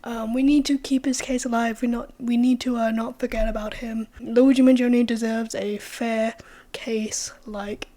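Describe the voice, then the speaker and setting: A young woman vlogs in a loose, rambling way, sprinkling in fillers and the occasional curse. Her voice sits slightly high yet soft and mellow. It is worn and hoarse from a recent cold. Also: flat delivery, a little slow. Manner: chatty, many "like" fillers, swears freely. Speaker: true-crime vlogger. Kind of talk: casual opinion vlog.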